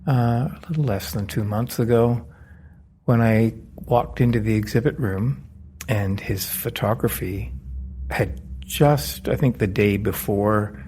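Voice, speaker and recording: A man's voice: on the low side, a little slow, a little mellow, a little hiss or hum. A man hosting a podcast interview, telling how he met his guest in a conversational way, indoors at a culture centre. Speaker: podcast host.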